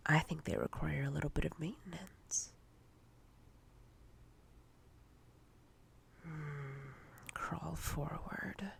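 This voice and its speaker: Female boss for roleplay ASMR , played by a woman: menacing and condescending, very husky half-whisper, unhurried commands. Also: low voice, full character voice. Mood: cold, commanding. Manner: slow, deliberate, commanding, condescending. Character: domineering, menacing female corporate boss toying with a subordinate.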